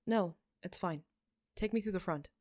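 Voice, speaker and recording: A woman voicing a gentle young male religious leader in a story, soothing and reassuring. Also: mid-range voice, normal speed, close to an everyday voice.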